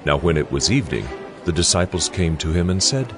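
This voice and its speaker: A man's voice: low voice, lively intonation, brisk, a crowd in the background. A man narrating scripture from a script as part of a dramatized reading. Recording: music bed behind voice.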